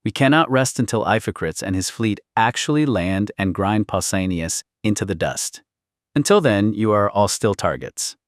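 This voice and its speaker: A commanding queen , as a male audiobook narrator reads her, grave and imperious in a historical saga. The voice is low and sits in a dry, close sound. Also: animated, moderate pace, dry recording, lightly acted. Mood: somber, resolute. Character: commanding middle-aged queen, an anxious, grave mother. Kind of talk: audiobook character dialogue.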